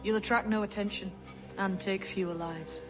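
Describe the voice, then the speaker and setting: A man's voice: high voice, fairly even intonation, normal speed, music in the background, lightly acted. An actor playing a terse, guarded assassin in a video game, a man speaking in curt, clipped phrases.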